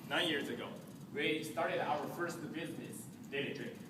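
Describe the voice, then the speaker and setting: A man's voice: on the high side, moderate pace, recorded from a distance, background noise. Young man presenting in an echoey hall. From China. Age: young adult. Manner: recited, with small restarts. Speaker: student presenter. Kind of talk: rehearsed team presentation. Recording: echoey hall, recorded from afar.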